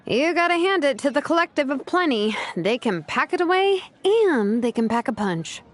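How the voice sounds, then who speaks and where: A woman's voice: high-pitched, very animated, normal speed. A woman voicing a wry, good-humored young woman, a teasing friend in a video game. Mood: amused. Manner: wry, playful.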